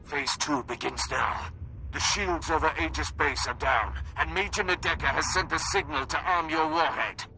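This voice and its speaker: An actor playing a grim, theatrical war commander in a video game, with portentous, ornate delivery. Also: male voice, a little high, moderate pace, creaky, bright, forceful tone, soft background music, full character voice, poor audio. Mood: commanding. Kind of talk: cinematic video game acting.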